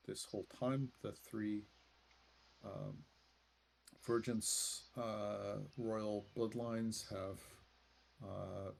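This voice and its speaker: A man improvising as game master of a tabletop roleplaying game, speaking in a halting, deliberate way with many pauses. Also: fairly low pitch, gravelly, a little mellow, slight background noise. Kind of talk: improvised in-character narration.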